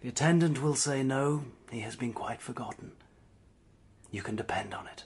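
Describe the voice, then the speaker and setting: An actor playing a proud, weary failed novelist in a British period drama, speaking formally and with restraint. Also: male voice, mid-range voice, normal speed, slightly rough. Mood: gentle, resigned.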